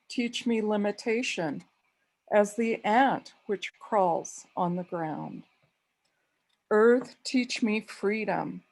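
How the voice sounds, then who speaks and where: A woman from Wyoming speaks at a memorial over a video call, very slowly and deliberately. Her delivery is even and, in her own words, monotone, unhurried as she reads a prayer aloud. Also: mid-range voice, poor audio. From Wyoming, USA. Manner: slow, calls own voice monotone. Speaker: mourner giving a tribute. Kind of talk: memorial remembrance and reading a prayer.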